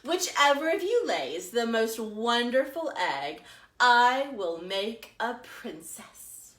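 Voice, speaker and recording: Woman storyteller as a bright, measured, wise queen hen. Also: fairly high pitch, big pitch swings, heavily acted. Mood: firm, wise. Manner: measured, emphatic pronouncements. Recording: roomy echo.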